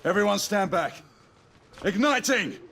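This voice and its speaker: An actor playing a stern, seasoned British knight in a video game, terse and commanding. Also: male voice, sits high, lively intonation, unhurried, gravelly, a bit bright, slight background noise, exaggerated voice. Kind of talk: dramatic video game cutscene acting. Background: music.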